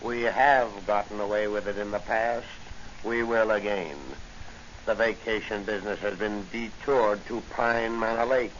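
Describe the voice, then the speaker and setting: An actor playing a smug, coldly polite crime boss in a 1940s radio drama, speaking formally with mock courtesy. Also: male voice, lowish voice, level tone, unhurried, lightly acted. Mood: smug menace. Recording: dull, noisy 1940 radio recording.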